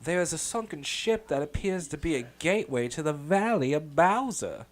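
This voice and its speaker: A man's voice: a little high, animated, moderate pace, pitched above the performer's own voice, close to an everyday voice. A man voicing a genteel Southern belle lady who reads out a game's story text in a drawling, sing-song way.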